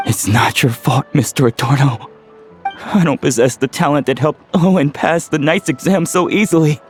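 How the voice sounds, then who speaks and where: A man playing a young, guilt-ridden medic in a game drama, halting, earnest and full of self-doubt. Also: a little high, soft background music, natural voice. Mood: anguished self-doubt.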